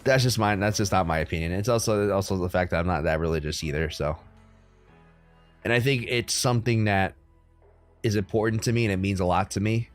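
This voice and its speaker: A young man from the United States hosting a crypto livestream, chatting casually with viewers in a relaxed, rambling way full of ums and likes. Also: lowish voice, fairly quick, faint music behind. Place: room at home.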